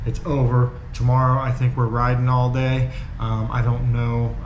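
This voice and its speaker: A man's voice: medium pitch, completely flat delivery, normal speed, reverberant, lo-fi sound, a little hiss or hum. A stuffed-up man hosting his vlog, signing off for the night in a tired, rambling way. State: stuffed up, congested. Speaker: vlogger host. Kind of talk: casual end-of-day sign-off.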